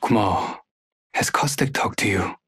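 An actress voicing a serious, reserved woman fighter in a video game, speaking in a measured, low-key way. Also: fairly bright tone, slightly rough, no echo, exaggerated voice. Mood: reserved, earnest. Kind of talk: video game character voice lines.

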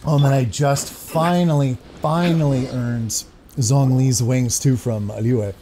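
A man's voice: medium pitch, steady tone, takes it slowly, dark tone, a little hiss or hum. A man around his thirties giving casual, musing commentary as he plays a video game. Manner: laid-back, musing. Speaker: gaming host. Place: indoor room at home. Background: game music.

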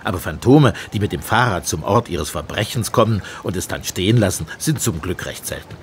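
An actor playing a sceptical, sarcastic police inspector with a gruff, commanding manner in a German radio play. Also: male voice, low voice, lively intonation, fairly quick, a little hiss or hum. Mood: brisk, sardonic.

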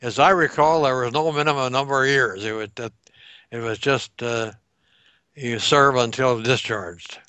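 Bright, crisp voice of a man in his nineties. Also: medium pitch, no room sound. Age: 90s. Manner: slow, reflective recollection with pauses to recall dates. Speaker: World War Two veteran interviewee. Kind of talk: oral history interview over video call. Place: home room by a staircase. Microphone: headset on video call.

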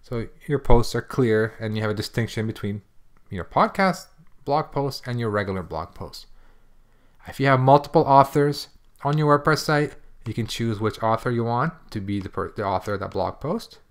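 A man demonstrating software in a casual screencast tutorial, explaining things informally step by step. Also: medium pitch, lively intonation, normal speed.

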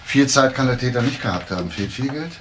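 An actor playing a probing, matter-of-fact police chief inspector in a radio crime drama, asking terse questions. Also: male voice, mid-range voice, expressive, quick pace, noisy background, natural voice. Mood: clipped, businesslike. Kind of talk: naturalistic German radio crime drama acting.

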